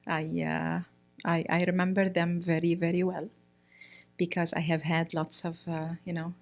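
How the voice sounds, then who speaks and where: Hesitant soft-spoken woman from Egypt. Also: on the low side, little pitch movement, normal speed, gravelly, faint music behind. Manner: hesitant, many fillers and restarts. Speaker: guest, priest's wife. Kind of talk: casual interview conversation.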